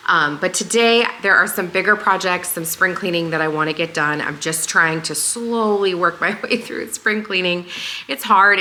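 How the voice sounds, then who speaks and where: A woman hosting a home vlog, talking casually and a little hoarse, with lots of fillers in a living room. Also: deep voice, pushed and bright, slight background noise. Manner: rambling and friendly, with many fillers. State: slightly hoarse. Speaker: home vlogger and channel host.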